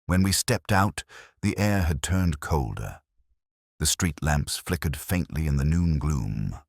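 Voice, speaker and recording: Very deep-voiced brooding male narrator. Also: lively intonation, measured pace, no echo, studio quality. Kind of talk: first-person scripted detective story narration.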